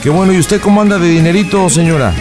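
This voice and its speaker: A man's voice: high-pitched, fast talker, over music. A Mexican man hosting a prank call show, improvising comic banter in a mocking, teasing, slangy way.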